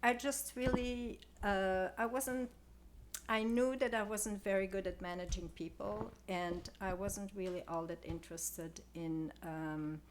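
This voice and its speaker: An older woman from Belgium, answering interview questions in a soft, hesitant way with many pauses and fillers. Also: medium pitch, fairly even intonation, slight background noise. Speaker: guest floral designer. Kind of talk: casual interview.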